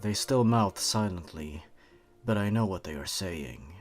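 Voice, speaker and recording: A man with a fairly low voice reads eerie short stories aloud in a measured, scripted way. His delivery grows hushed and quiet as the tension builds, and it keeps an even, deliberate pace. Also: faint music behind. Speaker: horror story narrator. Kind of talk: scripted horror narration.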